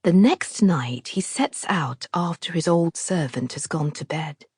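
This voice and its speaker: Slow, expressive woman narrator, low and husky. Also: dry recording, studio quality. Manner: measured, deliberate, expressive storyteller. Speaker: author narrating her own audiobook. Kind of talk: scripted literary narration, storytelling.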